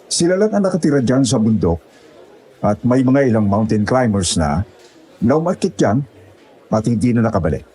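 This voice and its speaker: A man's voice: mid-range voice, dark tone, husky. A man playing a courteous, official police officer in an audio horror drama. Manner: courteous, formal. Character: polite, official male police officer taking a report.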